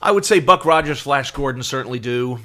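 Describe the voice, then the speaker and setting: A middle-aged man with a bright, clear-edged voice of average pitch co-hosts a podcast. He tells anecdotes casually, in a loose conversational way that halts and picks up again. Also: lively intonation, a little fast. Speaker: podcast co-host.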